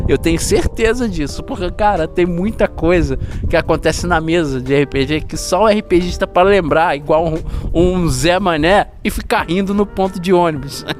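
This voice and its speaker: A Brazilian man with a fairly high voice hosts a relaxed chat from the stairwell of an apartment building. He keeps it upbeat and speaks directly to his audience, with soft music playing faintly behind him. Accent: Brazilian Portuguese. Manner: upbeat, addresses viewers directly. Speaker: channel host. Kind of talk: casual chat with a friend.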